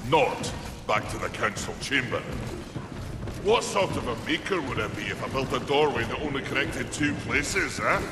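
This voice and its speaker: A gruff old giant of a craftsman speaks with big swoops of pitch. He is hearty and theatrical, heard in an echoing hall with noise behind him. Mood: hearty, proud. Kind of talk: dramatic video game cutscene acting. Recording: echoey hall, clear background noise.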